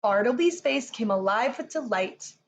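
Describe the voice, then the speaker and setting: A woman reading a rhyming children's picture book aloud in an expressive storytelling manner. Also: a little high, normal speed. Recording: faint background noise.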